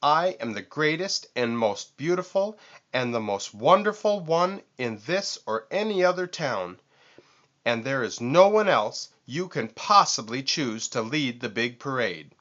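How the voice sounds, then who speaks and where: A man voicing a vain, boastful storybook vulture with a squawky, screechy voice and theatrical, drawn-out emphasis. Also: medium pitch, big pitch swings, a bit bright, highs cut off, voice pushed up. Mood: grandiose, ecstatic.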